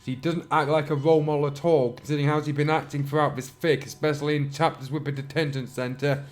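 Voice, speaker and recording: A man's voice: on the high side, little pitch movement, quick pace. Man with a sore throat, mocking asides. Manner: dry, mocking asides, frequent throat clearing. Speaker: channel host reading fan fiction. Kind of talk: dramatic reading with sarcastic commentary.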